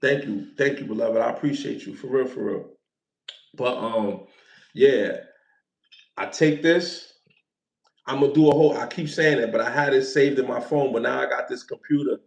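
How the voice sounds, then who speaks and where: A man's voice: mid-range voice, moderate pace, creaky, echoey room. A man in his forties hosting a casual livestream, loose and playful, repeating himself and thanking viewers for gifts. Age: 40s. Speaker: livestream host.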